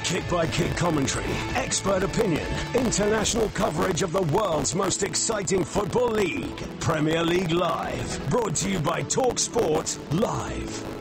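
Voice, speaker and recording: A male promo announcer with a high, creaky voice. He reads scripted radio station copy for sports coverage. A loud music bed plays behind him. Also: sing-song, moderate pace.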